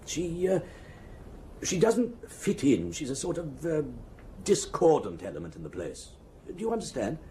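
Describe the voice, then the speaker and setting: Fussy head clerk, formal, hesitant, discreet, very animated intonation, radio drama, slight background noise. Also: male voice, on the high side, unhurried. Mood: confiding, disapproving. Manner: hesitant, formal, precise, stops and restarts.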